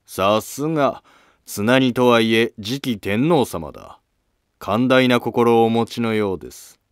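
A man's voice: medium pitch, lively intonation, unhurried, clean tone, no echo, studio quality. A man playing a polite, probing court noble in a historical drama, speaking in formal, deferential, insinuating phrases. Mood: probing, courteous. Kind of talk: scripted historical drama dialogue.

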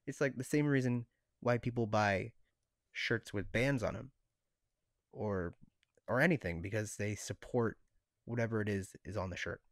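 A man with a fairly low voice speaks as a podcast co-host in a very dry recording. He talks casually and tentatively, working out his thoughts as he says them. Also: moderate pace, pauses often, no echo. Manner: tentative, thinking aloud. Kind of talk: casual discussion.

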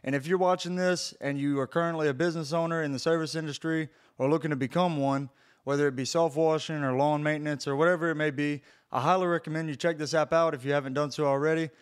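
A man's voice: fairly high pitch, steady tone, fast talker, smooth. A man who runs a pressure washing business, narrating a voice-over in a blunt, casual way and swearing freely.

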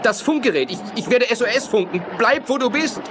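A man's voice: very high voice, lively intonation, a little fast. An actor playing a rugged male yacht skipper in a horror radio play. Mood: alarmed, urgent. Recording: noisy ambience behind the voice. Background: clear ambient noise.

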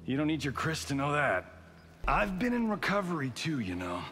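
An actor playing a flippant, boastful American Hollywood action star trading slangy banter in a fighting video game. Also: male voice, on the high side, very animated, moderate pace, fairly bright tone. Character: cocky wisecracking male Hollywood action movie star. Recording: game music behind.

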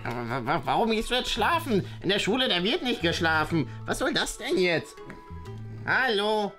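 A woman's voice: mid-range voice, big pitch swings, normal speed, a bit bright. A woman voicing a timid, fussy, know-it-all schoolboy who stammers nervously in a children's toy-play story. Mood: indignant. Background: music.

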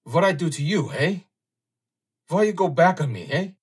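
A man's voice: a little high, expressive, moderate pace, slightly rough, voice pushed up. A man reading an audiobook, voicing a frantic middle-aged male underwriter with a German accent and broken English. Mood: frantic pleading.

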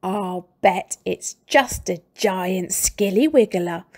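A woman's voice: mid-range voice, a little mellow. A woman doing a sly, nasty old husband. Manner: slow, sly and taunting.

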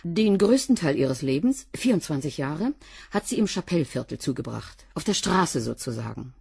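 A woman's voice: low voice, very animated, moderate pace, creaky, darkish tone, husky, dry recording, full character voice. An actress playing a blunt, guarded cleaning woman in a radio drama, answering in a dry, slightly defiant way. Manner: dry, curt, a bit defiant.

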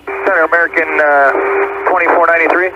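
A male airline pilot with a slightly high voice talks quickly over cockpit radio. The sound is muffled, with clear noise around the voice. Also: fairly even intonation. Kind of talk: radio readbacks.

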